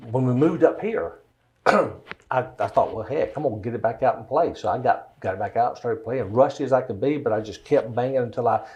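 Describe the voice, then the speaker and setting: An older-sounding man with a deep Southern American accent, telling stories in a folksy, rambling way in a casual interview. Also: a little fast, a little mellow. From Georgia, United States. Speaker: interview guest, songwriter and singer.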